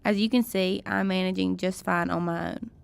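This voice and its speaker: A woman's voice: lowish voice, steady tone, fairly quick, gravelly, clear, resonant tone, dry recording. A woman playing a proud, stern mother in a radio drama, speaking in a formal, clipped and haughty way. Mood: stern, dismissive.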